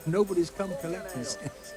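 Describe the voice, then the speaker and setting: A man's voice: sits high, fairly even intonation, measured pace, music in the background. A man acting a sly, miserly medieval innkeeper in a video game, smug and wheedling. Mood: smug, amused.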